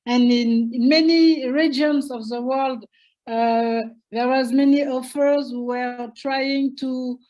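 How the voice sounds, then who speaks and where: An older woman from Senegal speaks with a high voice at a slow, unhurried pace. She pauses often, filling gaps and restarting sentences. Her English is a to her. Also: fairly even intonation, mellow, highs cut off. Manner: hesitant, many fillers and restarts. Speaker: historian guest. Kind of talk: interview conversation.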